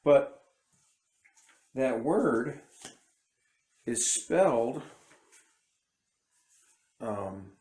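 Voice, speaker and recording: A man's voice: mid-range voice, sing-song, extremely slow, pauses often, a little mellow, reverberant. A man giving a casual, rambling bible commentary to camera, often breaking off and restarting his sentences. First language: English. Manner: rambling, self-interrupting, conversational. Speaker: independent bible teacher.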